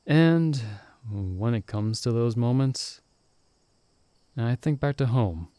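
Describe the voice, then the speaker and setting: A man's voice: mid-range voice, lively intonation, slow, mellow, dry recording. A man playing a cheerful, caring young male mage in a casual, reassuring ASMR fantasy roleplay, talking as if to a close friend. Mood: candid, wistful. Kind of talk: ASMR roleplay, intimate one-sided conversation.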